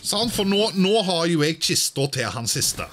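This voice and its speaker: A man's voice: a little high, big pitch swings, fairly bright tone, faint music behind. A man doing casual let's-play commentary, thinking aloud and sprinkling in English exclamations. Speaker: gaming video host.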